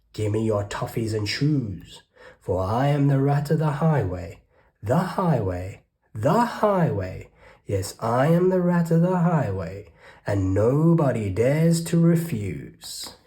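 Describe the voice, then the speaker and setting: A storyteller voicing a greedy, bullying male highway rat who bellows and boasts in a children's storybook. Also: expressive, takes it slowly, mellow. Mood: boastful. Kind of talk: storybook read-aloud.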